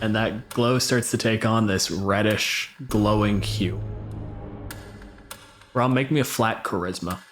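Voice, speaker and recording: A man's voice: fairly low pitch, slow pace, long pauses, a bit bright, faint music behind. A man narrating and bantering as game master, with a sweary, teasing manner. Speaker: game master and podcast host.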